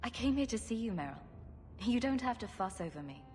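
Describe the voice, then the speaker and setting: A woman's voice: on the high side, very animated, fairly quick, a little mellow. An actress playing a confident, wry but kindly young woman adventurer in a video game, with a dry, assured delivery. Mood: kind, teasing. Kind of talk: video game cutscene acting. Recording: music behind the voice.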